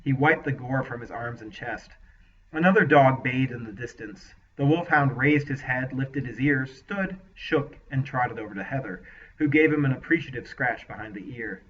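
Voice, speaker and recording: A man's voice: mid-range voice, normal speed. A man reading his own story aloud as narrator, recorded slightly distant with a dull sound. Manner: relaxed, hesitant chat with fillers after the reading. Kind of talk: audiobook narration.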